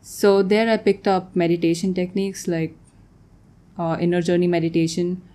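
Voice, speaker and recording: A woman's voice: fairly low pitch, little pitch movement, normal speed, dark tone. A young woman who grew up in Tanzania, a guest artist talking casually in an interview, often laughing and trailing off mid-sentence.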